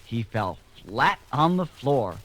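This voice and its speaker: A man's voice: mid-range voice, sing-song, takes it slowly, fairly bright tone. A man narrating a children's read-along story from a script. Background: music.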